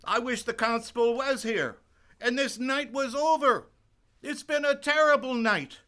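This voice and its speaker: An irritable country doctor acted by a man in a radio drama, his voice high and forceful. He scolds and scoffs, rattled underneath. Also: unhurried, exaggerated voice. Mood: testy, rattled. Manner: testy, scolding, exclaiming. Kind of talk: radio play acting.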